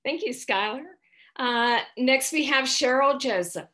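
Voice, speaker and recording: A woman moderating an online panel on a video call, speaking in a measured, formal and explanatory manner. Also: high-pitched, bright, forceful tone.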